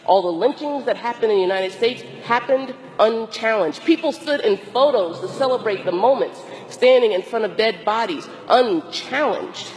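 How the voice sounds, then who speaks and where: A hoarse, tired woman priest with a cold gives a slow, deliberate sermon with long pauses. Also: a little high, animated, big-room sound, low-quality recording. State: hoarse, losing her voice from a cold; exhausted. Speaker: Episcopal priest. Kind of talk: reflective sermon.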